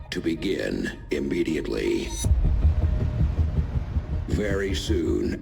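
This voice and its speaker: A deep, gravelly, shadowy informant. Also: male voice, exaggerated voice. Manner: formal, deliberate, grave. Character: shadowy male resistance informant, solemn and deliberate. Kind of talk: video game cutscene acting. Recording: music behind the voice.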